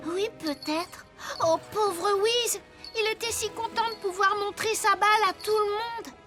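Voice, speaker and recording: A woman's voice: very high-pitched, steady tone, a little slow. A woman voicing a cheerful, eager and polite little wooden toy boy in a French children's cartoon. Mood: concerned, curious. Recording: music behind the voice.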